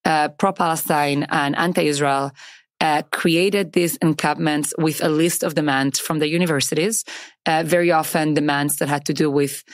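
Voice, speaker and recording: A woman with a slight accent of unclear origin co-hosting a podcast, talking casually and quickly with lots of fillers. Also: deep voice, no room sound, studio quality. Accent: ambiguous foreign accent. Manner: lively, quick, many "like" fillers. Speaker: podcast co-host. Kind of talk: casual chat.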